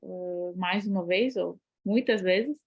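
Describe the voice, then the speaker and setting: A woman's voice: fairly low pitch, slow pace. A woman talking casually in a second language, hesitating often with fillers and restarts. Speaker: guest polyglot. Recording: slightly dull lo-fi audio.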